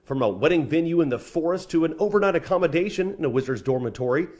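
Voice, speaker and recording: Male American feature reporter. Storytelling narration, lively melodic swings, slightly high register. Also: fast. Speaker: TV news reporter.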